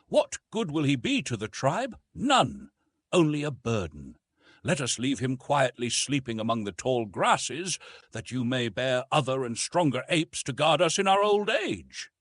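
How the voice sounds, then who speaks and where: Man reading as a scornful, husky male ape. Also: mid-range voice, big pitch swings, a little slow, fairly bright tone, dry recording. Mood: scornful, arguing. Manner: argumentative, disdainful, emphatic. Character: gruff, scornful adult male ape, vexed husband. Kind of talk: audiobook character acting.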